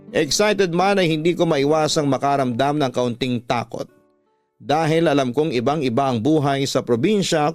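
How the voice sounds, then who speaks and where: A man with a Filipino accent narrating a scripted first-person story as a radio storytelling host. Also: medium pitch. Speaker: storytelling host reading a letter sender's story.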